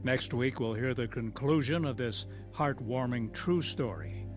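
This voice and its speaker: Slow-paced male radio announcer over music. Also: mid-range voice. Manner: measured, earnest appeal. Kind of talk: scripted religious radio narration.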